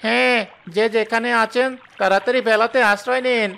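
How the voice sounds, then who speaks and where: A man doing a very high, eager old villager. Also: steady tone, brisk, gravelly, sharp tone, smooth, full character voice. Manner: eager, deferential. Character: loyal, eager elderly villager uncle. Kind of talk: Bengali cartoon voice acting.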